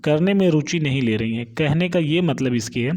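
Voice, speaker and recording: A man's voice: fairly high pitch, lively intonation, normal speed, a little mellow. A man giving an informal explainer on exam news, often tagging his phrases with a check-in to listeners. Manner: explanatory, repeats a check-in tag at phrase ends. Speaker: host of a job exam update channel.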